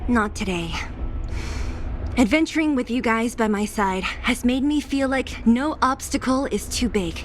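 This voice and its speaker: A woman's voice: on the high side, expressive, a little slow, noisy background. An actress playing a determined young woman fighter in a video game, plain-spoken and resolute. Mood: warm.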